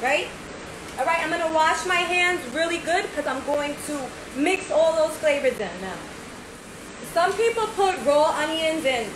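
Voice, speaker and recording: A woman's voice: high-pitched, noisy background. Chatty woman cooking in echoey kitchen. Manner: chatty, step-by-step, says "right?" often. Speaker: home cook host. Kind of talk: casual cooking demo. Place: home kitchen, indoors. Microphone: phone camera at arm's length.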